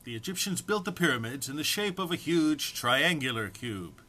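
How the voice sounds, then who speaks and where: Bright-voiced man, mock-solemn and barely composed. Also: a little high, big pitch swings, moderate pace. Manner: mock-solemn, struggling not to laugh. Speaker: amateur reader recording for an online post. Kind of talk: informal introduction, then reading comic text aloud.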